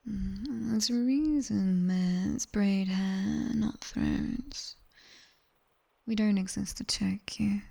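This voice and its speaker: Woman acting British female demon tutor, breathy whisper, mellow, unhurried, sharp-tongued, strict, teasing, ASMR roleplay. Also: medium pitch, exaggerated voice. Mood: stern, teasing. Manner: slow, measured, commanding.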